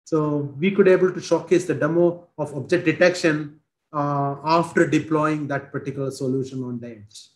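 Man in his forties with an Indian accent, headset, halting presenter, echoey room. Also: a little high, fairly even intonation. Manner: halting, many fillers and restarts. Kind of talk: technical product demo over video call. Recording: roomy video call audio.

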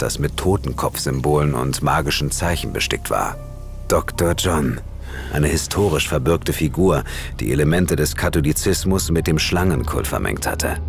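An actor playing an investigator who narrates his eerie case in a measured, suspenseful horror audio drama style. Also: very deep voice. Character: male investigator recounting his eerie case, tense and composed. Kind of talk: scripted first-person horror narration. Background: music.

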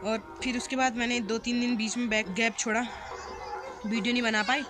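A young woman from the hills of northern India talks to viewers in a chatty, homely way, filming herself with a phone held at arm's length. Her voice sits a little high. She is outdoors on a rooftop. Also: fairly even intonation, brisk, music in the background. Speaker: vlogger. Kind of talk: casual vlog talk to camera. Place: rooftop outdoors in hill town. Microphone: phone camera at arm's length.

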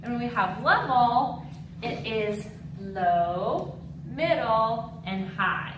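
A woman in her thirties teaching a dance lesson to children, with an animated, encouraging, clearly enunciated delivery. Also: a little high, drawn-out words, noisy background. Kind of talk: instructional video lesson for children. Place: large mirrored dance studio indoors.

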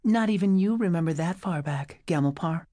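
Man voicing an impatient young woman, lifted pitch. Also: normal speed, warm tone, dry recording, studio quality, lightly acted. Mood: sharp questioning. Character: demanding, impatient young woman on a hard journey. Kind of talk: audiobook character voice.

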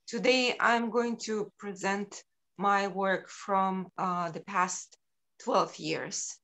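A middle-aged woman from Ukraine presenting her sculptures over a video call, unhurried and halting with frequent ums. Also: mid-range voice, steady tone, fairly bright tone.

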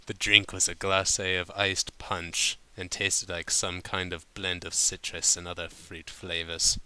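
A man's voice: deep voice, flat delivery, a little slow, sharp tone, clean tone. A man reading a story aloud informally, sometimes stumbling over his words and breaking off to comment. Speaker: fan fiction reader.

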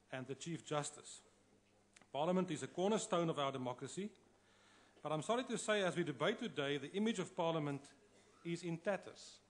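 A South African man, a long-serving member of parliament, giving a combative, emphatic debate speech at a podium microphone in a large chamber. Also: a little high. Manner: combative, emphatic, repeats for force.

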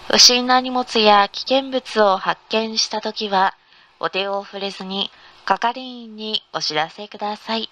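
A woman's voice: mid-range voice, measured pace, pushed and bright. A woman reading a scripted in-car train announcement in a formal, polite manner. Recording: noisy train ambience recording.

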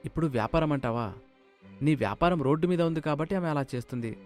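A man's voice: a little high, fast, soft background music, lightly acted. A man voicing a supportive, practical middle-class husband in a moral story, speaking reassuringly.

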